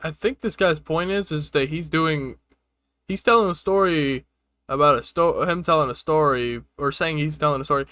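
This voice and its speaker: A man's voice: fairly high pitch, moderate pace, bright, forceful tone, no echo, clean studio sound. A man hosting a reaction video, commenting casually and informally in a puzzled manner.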